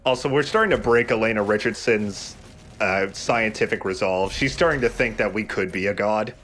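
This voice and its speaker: A man's voice: on the low side, normal speed, a bit bright. A man hosting a let's play, giving casual, jokey game commentary with friends. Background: game sound.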